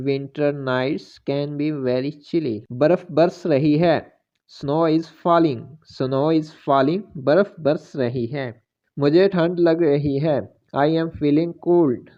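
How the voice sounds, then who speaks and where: A man teaching English phrases, saying each one slowly twice between the Hindi versions. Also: on the high side, lo-fi sound. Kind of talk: slow repeated phrase drills.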